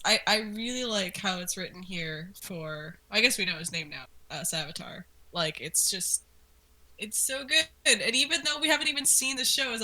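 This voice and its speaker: A woman's voice: mid-range voice, pushed and bright, poor audio. A woman co-hosting a casual commentary, informal and enthusiastic as she reacts and asks questions. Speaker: co-host asking questions about the series.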